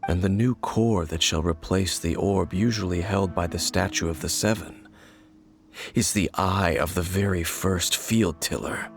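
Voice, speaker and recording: A guarded, mysterious wandering swordsman, with a low voice and a measured, solemn delivery. He keeps his answers short and quietly cryptic, over faint game music. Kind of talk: video game voice acting.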